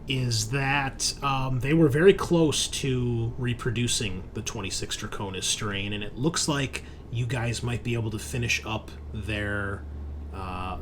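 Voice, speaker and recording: A man running a tabletop horror game as game master, narrating in a halting way as he thinks aloud and checks his notes. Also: on the low side, a little hiss or hum.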